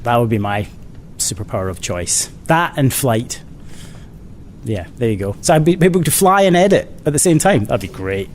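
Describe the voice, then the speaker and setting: A man's voice: lively intonation, moderate pace. A man answering interview questions as a children's author, in a casual way with dry, playful humour. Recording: clear background noise, low rumble.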